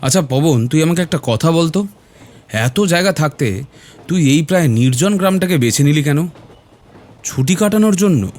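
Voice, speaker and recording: Man playing a curious, higher-voiced young friend. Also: animated, normal speed, a little mellow, natural voice. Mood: curious, teasing. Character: curious, teasing young male schoolteacher friend. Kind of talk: audio story dialogue.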